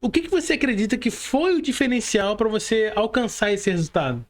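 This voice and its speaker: A man's voice: high-pitched, expressive, brisk. A Brazilian man hosting a casual podcast interview in informal, slangy Portuguese, often checking in with short tag questions. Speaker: podcast host.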